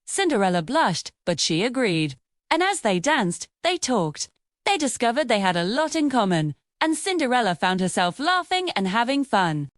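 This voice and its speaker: A woman telling a children's fairy tale with an expressive, sing-song delivery. Her pitch sits a little high and swoops widely, and the recording is close and dry. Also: normal speed, no room sound. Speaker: storyteller.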